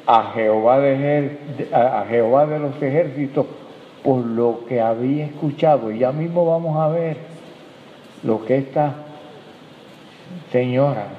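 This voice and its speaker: A man preaching a sermon as pastor, rising emphatically and dropping soft as he addresses the congregation. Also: medium pitch, a little hiss or hum. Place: church hall. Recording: tinny, echoey hall recording.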